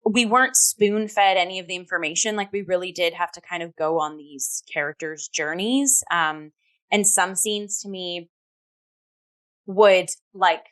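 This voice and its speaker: Enthusiastic, slightly low-voiced woman. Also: measured pace, fairly bright tone. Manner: enthusiastic, peppers speech with "like". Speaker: talk show co-host and theatre reviewer. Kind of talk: casual conversational review.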